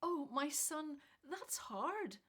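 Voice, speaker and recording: A woman's voice: sits high, big pitch swings, drawn-out words, fairly bright tone, exaggerated voice. A woman acting in a radio Bible play as a tender, practical and devout elderly mother. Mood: tender, resolute. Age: older.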